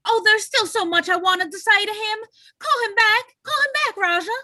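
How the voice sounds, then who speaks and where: An actress playing an anxious, gullible, grieving widow in an old-time radio drama, pleading and emotional. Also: female voice, very high-pitched, normal speed, bright, forceful tone, no echo, studio quality, exaggerated voice.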